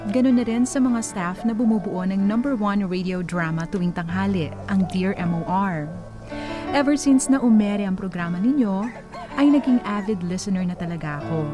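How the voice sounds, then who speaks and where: A woman's voice: mid-range voice, animated, moderate pace, lightly acted. Woman as an earnest Filipino letter-writer over music. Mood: earnest, reflective. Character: earnest young woman narrating her own life letter. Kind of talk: scripted radio drama narration. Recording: music bed under the voice.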